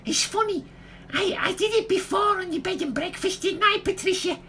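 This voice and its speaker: A woman's voice: very high-pitched, moderate pace, sharp tone, a little hiss or hum. A woman playing a dotty, tipsy, fussy old lady in a comic radio play. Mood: chatty, dotty. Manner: fussy, dramatic complaining.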